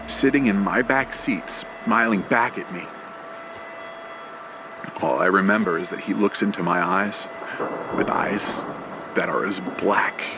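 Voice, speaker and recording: Slow, low, gravelly man narrating horror. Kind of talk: scripted first-person horror narration in old radio play style. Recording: clear background noise with ambience and vehicle sounds. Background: rain, ambience and vehicle sound effects.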